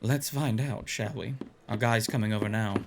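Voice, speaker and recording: A man's voice: lowish voice, moderate pace, noisy background, close to an everyday voice. A man playing an enthusiastic, curious, teasing bard in a fantasy audio drama. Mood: teasing, excited. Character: excitable, curious male bard, a tavern patron.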